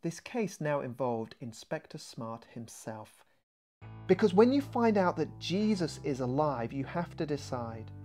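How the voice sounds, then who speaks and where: Expressive man narrating a children's story. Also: a little high, a little slow. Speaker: author reading his own book. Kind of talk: children's audiobook narration, scripted. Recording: music behind the voice.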